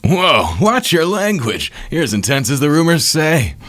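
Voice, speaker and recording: A man's voice: fairly high pitch, big pitch swings, unhurried, a bit bright, soft background music, voice pushed up. A man voicing a cocky, mocking villain from a comic with theatrical, taunting delivery. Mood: smug taunting. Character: cocky, mocking villain feared as a merciless angel.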